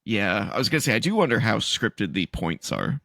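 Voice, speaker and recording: A man co-hosting a casual podcast, stuffy and sniffly from allergies, loose and laughing, swooping high for emphasis. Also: big pitch swings, fairly quick, gravelly, sharp tone, no room sound, clean studio sound. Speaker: podcast co-host. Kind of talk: casual chat.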